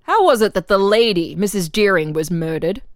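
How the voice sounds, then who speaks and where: A woman's voice: medium pitch, expressive, moderate pace, no room sound, studio quality, close to an everyday voice. A woman reading the part of an insistent official who questions a murder prisoner methodically in a dramatic reading. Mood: probing, insistent. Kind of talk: dramatic reading of an interrogation transcript.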